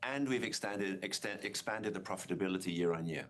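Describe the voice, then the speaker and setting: A man speaking as a company executive, giving measured, formal answers on an earnings call. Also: low voice.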